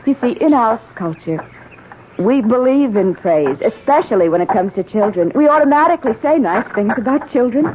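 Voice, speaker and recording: An actress playing an anxious, guilt-ridden wife in a radio drama, speaking earnestly with faltering hesitation. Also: female voice, on the high side, animated, moderate pace, natural voice. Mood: anxious, guilty. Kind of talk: 1970s radio drama acting. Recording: dull, noisy old radio broadcast.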